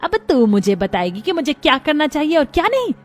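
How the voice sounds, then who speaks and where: Cartoon mother-in-law voiced by a woman: older, nagging, stubborn, fairly high voice over background music. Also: sing-song, brisk. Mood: stubborn, indignant. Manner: bossy, nagging. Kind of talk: cartoon story dialogue.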